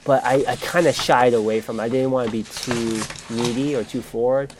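A man's voice: mid-range voice, steady tone, moderate pace, noisy background. A man in the middle register tells a long personal story on a podcast, chatting casually. He talks freely and loosely, often breaking off and starting his sentences over.

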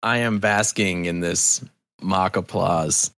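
A middle-aged man from the US East Coast, a podcast guest chatting casually and effusively, with halting restarts and swearing. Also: low voice, steady tone, a bit bright, no room sound.

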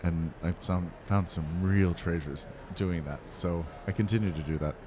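Low-voiced, earnest male curator. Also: normal speed, noisy background. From Pennsylvania, USA. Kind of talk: interview answers.

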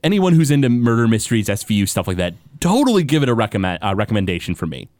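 A man's voice: fairly low pitch, big pitch swings, racing, a little mellow, no room sound, studio quality. A man co-hosting a podcast in casual chat, teasing his partner playfully and rambling with many fillers. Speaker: podcast co-host.

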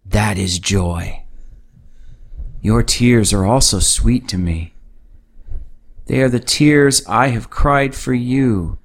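A man voicing a gentle, loving God. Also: on the low side, gravelly, exaggerated voice. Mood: tender. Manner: calm, slow, soothing assurance. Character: gentle, loving male God, patient and tender. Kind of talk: devotional audio drama.

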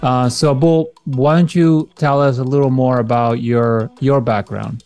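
A man's voice: mid-range voice, steady tone, unhurried, darkish tone, dry recording, faint music behind. A man from Peru hosting a podcast interview, talking casually with many fillers and restarts over a video call. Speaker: podcast host.

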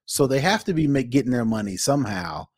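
A man's voice: mid-range voice, animated, quick pace, a little mellow, dry recording, clean studio sound. A man co-hosting a podcast, giving casual, opinionated commentary bluntly and emphatically, repeating phrases for effect. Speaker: podcast co-host.

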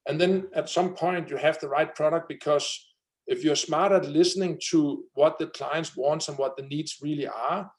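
Thirties male founder, Danish accent, lively guest energy, slightly higher voice. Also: moderate pace. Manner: energetic, many fillers and restarts. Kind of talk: conversational interview.

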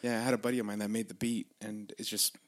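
A man's voice: lowish voice, flat delivery, fast. A man hosting a podcast, chatting casually with his guest in a chummy way and swearing freely. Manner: chummy, swears, laughs along. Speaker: podcast host.

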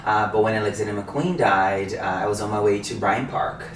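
A man tells his story as a podcast guest. He speaks in a relaxed way and hesitates often. He sounds roomy and set back from the mic, over faint noise. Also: fairly low pitch, fairly quick, distant mic. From Brazil. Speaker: podcast guest, meditation teacher. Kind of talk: casual interview.

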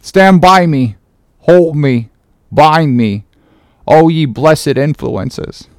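A troubled ship's mate pleads for strength, as a male reader voices him. His voice rides high and smooth. The sound is clear and dry. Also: extremely slow, no room sound. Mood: anguished. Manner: pleading, anguished.

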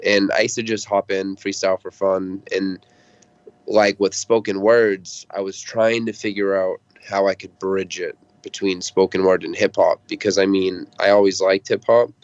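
A man's voice: low-pitched, level tone, normal speed, no echo. A man in his twenties from Massachusetts, a rapper telling his story candidly and casually as an interview guest, on slightly dull audio. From Massachusetts, United States.

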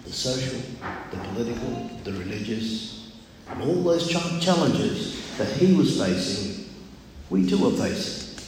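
Casting: older man, loud-voiced preacher, very slow deliberate delivery, heavily reverberant hall recording. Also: mid-range voice, animated. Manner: measured, deliberate, with frequent pauses. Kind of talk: sermon. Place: large echoey room, speaking to a congregation. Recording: very echoey hall, clear background noise.

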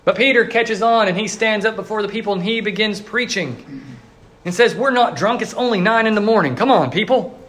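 A man with a notably high voice preaches a sermon as a pastor. He tells the story earnestly and slips into quick voiced asides for the crowd. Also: a bit bright.

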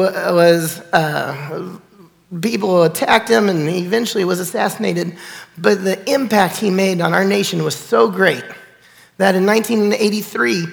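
A hoarse pastor in his forties, with a high, gravelly nasal voice. He preaches in an easy, conversational way, and his words ring out with a long church-hall reverb. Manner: chatty, conversational preaching with fillers. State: hoarse, recovering from illness. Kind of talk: sermon. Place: church sanctuary.

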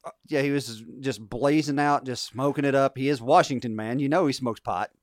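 A man's voice: medium pitch, fairly quick, dry recording, studio quality. A man co-hosting a podcast, teasing and skeptical in casual banter. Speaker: podcast co-host.

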